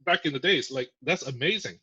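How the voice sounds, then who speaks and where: Male guest, bright and forceful, chatty with fillers; dull lo-fi sound. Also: on the high side, animated, fairly quick, slightly rough. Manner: chatty, filler-heavy explaining with laughs. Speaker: podcast guest, software engineer. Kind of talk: casual interview. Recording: muffled lo-fi audio.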